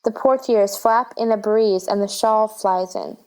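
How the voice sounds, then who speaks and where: A woman's voice: mid-range voice, steady tone, a little slow, a little mellow, rough recording. A woman reading stage directions as the narrator of a scripted storybook drama.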